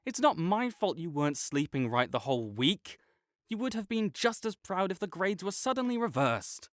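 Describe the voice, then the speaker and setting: A man's voice: high-pitched, big pitch swings, normal speed, a bit bright, dry recording, studio quality. A young man playing a prickly male academic rival who is grudgingly kind, talking teasingly and defensively to a silent listener in an audio roleplay. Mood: bickering.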